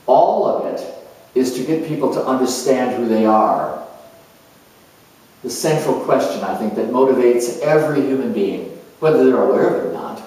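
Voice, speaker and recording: A man playing an earnest, self-assured spiritual coach in a dramatized monologue, reflective and halting, with deliberate pauses. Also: medium pitch, lively intonation, mellow, close to an everyday voice. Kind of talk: dramatized monologue as if answering an interview. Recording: distant mic in very echoey room.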